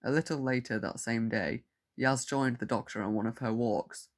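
A man's voice: mid-range voice, fairly even intonation, moderate pace, close to an everyday voice. A man narrating a scripted science fiction audio drama as its storyteller. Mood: measured storytelling.

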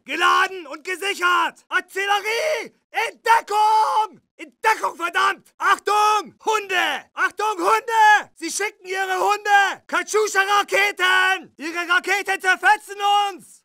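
A German wartime soldier from a video game, shouts urgent warnings and orders. His voice is pushed very high and hard, with a sharp, forceful edge. Each short command is drawn out and barked. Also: a little slow, hardly any pauses, no room sound, clean studio sound, full character voice. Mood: urgent, alarmed. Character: urgent German Second World War infantry soldier.

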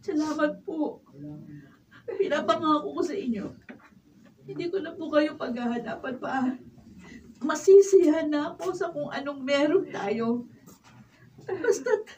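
Very high-voiced tearful daughter. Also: female voice, unhurried, long pauses, darkish tone. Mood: remorseful. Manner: tearful, pleading. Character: remorseful young daughter returning to her mother. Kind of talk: Filipino radio drama acting. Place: radio drama recording studio. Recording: noisy, distant camera recording in studio.

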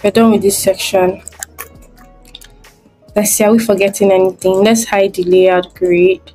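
A woman's voice: mid-range voice, little pitch movement, unhurried, mellow, faint music behind. A woman giving a screen-recorded design tutorial, thinking aloud step by step as she works.

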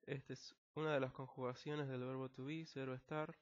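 A man's voice: mid-range voice, level tone, a little fast. A man with an Argentine accent, an English teacher patiently explaining a technical text word by word, with frequent fillers. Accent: Argentine Spanish. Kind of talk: screen-recorded language lesson. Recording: slightly dull lo-fi recording.

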